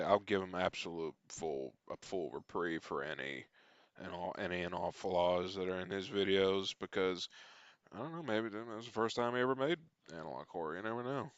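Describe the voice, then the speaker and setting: A man's voice: low-pitched, clean tone, no room sound. A man hosting a reaction video, commenting casually and bluntly, with some swearing.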